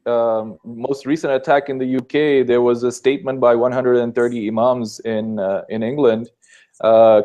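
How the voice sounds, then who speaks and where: A man from Sri Lanka, speaking as an interview guest. He explains his points earnestly and patiently, often pausing on filler sounds. His voice is mid-pitched and plain. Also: darkish tone. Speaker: guest answering questions about Islam. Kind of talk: casual interview answers.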